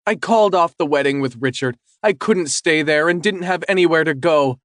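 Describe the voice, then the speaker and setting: Give me a man doing a pleading, possessive ex-wife, pitched up and teary. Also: normal speed, dry recording, clean studio sound, lightly acted. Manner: tearful, clingy, then cutting.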